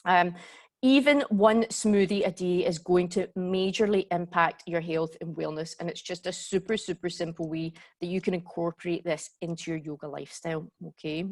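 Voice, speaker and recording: A woman's voice: on the low side, steady tone, normal speed. Glasgow lady in her 40s running a zoom yoga workshop, chatty, keeps saying okay and guys, computer mic. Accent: Glaswegian. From Scotland. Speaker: yoga teacher and workshop host. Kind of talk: live online workshop talk. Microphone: computer mic on a video call.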